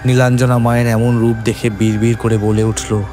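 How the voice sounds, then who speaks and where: A man's voice: mid-range voice, flat delivery, moderate pace, over music. A man narrating a scripted horror story in a dramatic, suspenseful manner.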